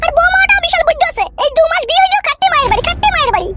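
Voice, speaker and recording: A woman's voice: extremely high pitch, lively intonation, racing, over loud music. A woman voicing a grumbling, scolding middle-aged mother-in-law in a comedy cartoon, with a rural West Bengal accent and an artificially raised voice.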